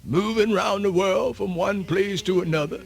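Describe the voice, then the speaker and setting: A man's voice: high-pitched, moderate pace, vocal fry. A man playing an aged, weary yet proud storyteller elder, with a folksy, solemn, oratorical delivery in a radio theatre monologue. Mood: weary, reflective. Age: older. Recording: music playing under the voice.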